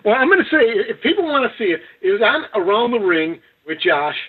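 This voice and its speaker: A man's voice: high-pitched, very animated, brisk, breathy edge. Joking male host on a tinny line. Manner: joking, teasing. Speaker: podcast host. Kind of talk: casual wrap-up banter.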